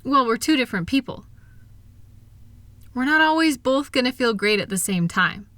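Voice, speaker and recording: A woman plays an affectionate, capable wife in a comfort roleplay. Her voice is bright and recorded very dry and close. She is gentle and reassuring, with a light teasing touch. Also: on the high side, animated, normal speed, dry recording. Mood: soothing, reassuring. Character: kind, upbeat, capable wife soothing her insecure partner. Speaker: audio roleplay performer. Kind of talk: intimate comfort audio roleplay.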